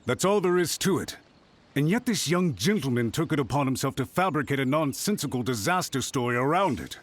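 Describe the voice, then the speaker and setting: An actor playing a haughty, scheming government official in a video game, with a pompous, theatrical and emphatic delivery. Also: male voice, mid-range voice, animated, brisk, close to an everyday voice. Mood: indignant. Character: haughty, scheming male government official, indignantly self-righteous.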